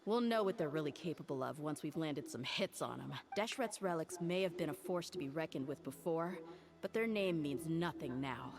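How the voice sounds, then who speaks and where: A woman's voice: low voice, animated, normal speed. An actress playing a tough, resolute young female mercenary in a video game, with a firm, confident manner. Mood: confident, defiant.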